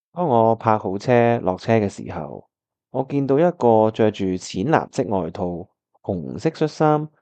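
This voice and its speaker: A man's voice: lowish voice, mellow, dry recording. A man with a Hong Kong Cantonese accent acting an earnest, lovelorn young pianist who narrates his own story in a radio drama. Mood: narrating, puzzled. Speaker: voice actor, lead and narrator.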